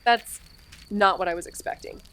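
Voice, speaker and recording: An actress playing a blunt, urgent amateur sleuth in an audio drama, with a curt, sardonic manner. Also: female voice, mid-range voice, moderate pace, sharp tone, a little hiss or hum, natural voice. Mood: tense, wary.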